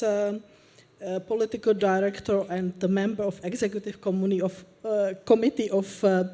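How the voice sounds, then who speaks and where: A woman moderating a conference panel, introducing the next speaker in a halting way with many fillers. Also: mid-range voice, mellow.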